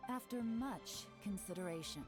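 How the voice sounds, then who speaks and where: A woman voicing a poised, authoritative official in an anime video game, formal and measured. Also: medium pitch, expressive, close to an everyday voice. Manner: formal, deliberate, composed. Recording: loud game music behind. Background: game music.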